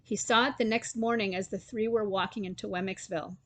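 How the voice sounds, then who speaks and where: A woman storyteller giving an expressive reading of a children's story. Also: medium pitch, brisk, no echo.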